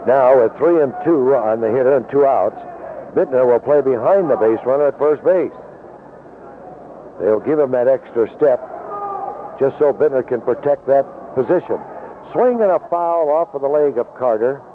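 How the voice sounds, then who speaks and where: A man calling baseball play-by-play for radio in clipped, descriptive phrases with pauses between plays. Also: mid-range voice. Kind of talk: live sports commentary. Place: outdoor ballpark broadcast booth. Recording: noisy narrow-band 1970s AM radio broadcast.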